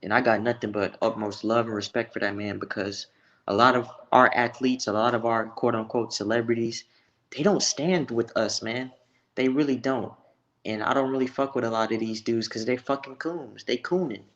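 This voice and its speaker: A man's voice: mid-range voice, fairly even intonation, normal speed, a bit bright, dull audio. An American man from Texas giving blunt, profane opinions in a casual, slangy sports chat. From Texas, United States.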